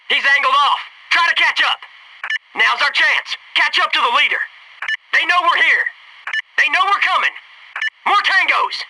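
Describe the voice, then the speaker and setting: Casting: brash American SEAL squadmate, game combat lines, joking and slangy, very animated pitch, radio-filtered, sounding off the mic. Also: male voice, far from the mic, background noise. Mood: urgent shouting. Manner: cocky, joking, slangy, barks urgent callouts. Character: brash wisecracking young Navy SEAL squadmate. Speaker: video game voice actor.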